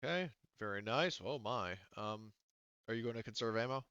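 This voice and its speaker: A man running a tabletop role-playing game as game master, haltingly thinking aloud through the rules. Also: medium pitch, expressive, pushed and bright, clean tone, no room sound, highs cut off.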